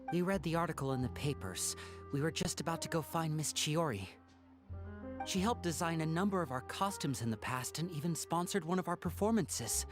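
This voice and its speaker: A courteous young stage magician in an anime game. The voice is a little low, measured and polite, yet melodic in its swings, over background music. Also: female voice, lively intonation. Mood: composed, resolute. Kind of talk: anime-style video game acting. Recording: clear music behind the voice.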